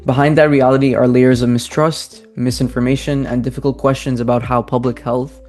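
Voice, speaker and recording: Male podcast cohost, medium pitch, reading a scripted intro. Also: level tone, fairly quick, a little mellow, soft background music. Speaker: podcast co-host.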